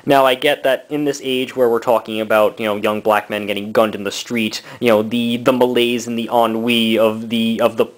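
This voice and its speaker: A man in his thirties giving a casual, rambling review, halting often with fillers and short laughs. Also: mid-range voice, flat delivery. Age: 30s. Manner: laughs between thoughts. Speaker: book and comic reviewer.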